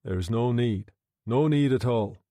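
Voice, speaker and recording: A man voicing a calm, quietly menacing Irish gentleman who speaks in a soft, conversational tone. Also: mid-range voice, unhurried, dry recording, studio quality, lightly acted. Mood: quiet menace.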